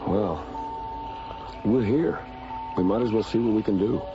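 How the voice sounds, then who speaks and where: A man's voice: on the low side, a little slow, close to an everyday voice. An actor playing a blunt, folksy, gung-ho spaceship crewman in radio drama style. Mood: resigned. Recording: dull radio recording under loud music.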